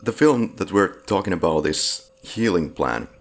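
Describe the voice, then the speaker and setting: A man's voice: low-pitched, big pitch swings, unhurried. A man hosting a film podcast, upbeat and joking as he introduces his guests. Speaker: podcast host.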